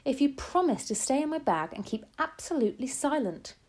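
A woman's voice: high-pitched, sing-song, brisk, voice pushed up. A woman voicing an elderly grandmother who is loving, resourceful and emphatic, in a storybook reading. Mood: urgent, caring.

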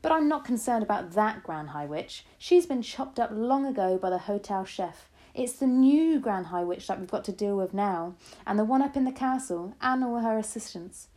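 A woman's voice: mid-range voice, expressive, moderate pace, mellow, natural voice. A woman voicing a feisty, fierce old grandmother with bold, impatient, gleeful declarations in a storybook reading. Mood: impatient, forceful. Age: older. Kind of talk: storybook read-aloud.

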